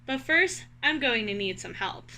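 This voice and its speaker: Woman voicing an earnest, high, bright little squirrel. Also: expressive, unhurried, heavily acted. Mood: resolved. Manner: insistent, questioning. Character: earnest, puzzled male squirrel seeking a home. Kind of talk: children's storybook character voice.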